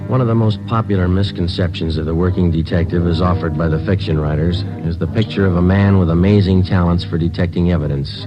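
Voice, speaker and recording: Man voicing a police detective, very deep voice, deadpan narration, old radio drama, lo-fi sound with music. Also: natural voice. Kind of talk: 1950s radio drama acting. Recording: dull lo-fi old radio broadcast.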